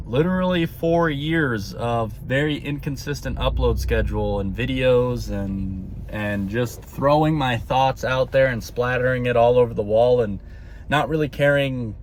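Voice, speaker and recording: A man's voice: medium pitch, a little hiss or hum. A man talking to his viewers in a casual monologue, earnest and rambling, often tagging his sentences with "okay?" and repeating words. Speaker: spiritual vlogger.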